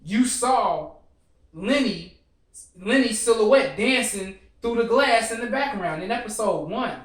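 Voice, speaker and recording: A man's voice: high voice, expressive, unhurried, fairly bright tone. A man co-hosting a casual, sweary discussion, often cutting in and repeating himself to get a word in. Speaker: co-host of a TV series discussion show. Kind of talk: casual group discussion, profane slang. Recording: roomy echo, distant from the mic.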